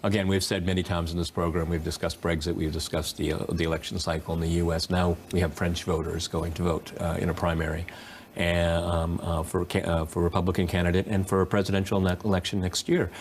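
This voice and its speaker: A man hosting a political panel discussion, speaking haltingly and repeating his words as he goes. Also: deep voice, little pitch movement, slight background noise. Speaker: talk show host.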